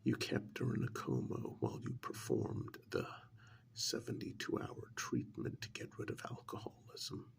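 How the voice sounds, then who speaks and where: A man playing a sly, insinuating interrogator in an ASMR role-play, speaking in a slow, deliberate, soft whisper with mock admiration and dramatic pauses. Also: mid-range voice, sing-song. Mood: grim recounting. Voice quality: breathy whisper with gravelly creak. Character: sly, insinuating male interrogator needling a mad scientist.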